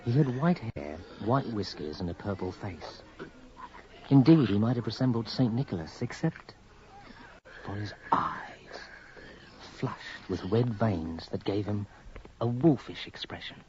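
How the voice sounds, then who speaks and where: An actor playing the narrator of a radio horror drama, telling his eerie story in a measured, ominous way. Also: on the low side, expressive, a little mellow. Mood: ominous, haunted. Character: man narrator recalling a sinister, eerie encounter. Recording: muffled, noisy old radio broadcast recording.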